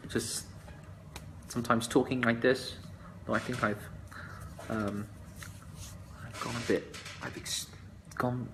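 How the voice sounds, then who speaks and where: A man answering viewers' questions in a casual live chat, soft-spoken and hesitant, with many fillers and restarts. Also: medium pitch, a little hiss or hum, low-quality recording. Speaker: musician answering fans in a live stream.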